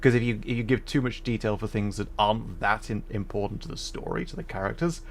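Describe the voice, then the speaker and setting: Low-voiced man chatting on a livestream. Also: fast talker, vocal fry, a little hiss or hum. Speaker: livestream host. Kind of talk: casual game livestream chat.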